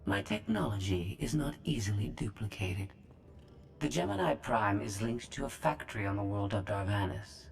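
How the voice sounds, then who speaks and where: An actor voicing a cold, calculating droid AI with a filtered, machine-like voice in a game cutscene. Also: male voice, on the low side, moderate pace, vocal fry, soft background music. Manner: flat, precise, machine-like.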